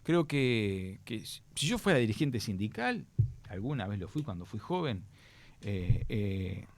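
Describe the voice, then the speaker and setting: A middle-aged Uruguayan man speaking in an earnest, explanatory way, often restarting his sentences. His pitch rises and falls a lot as he makes his points. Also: very animated, measured pace. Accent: Uruguayan Spanish. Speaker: education guest. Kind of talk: radio interview answers.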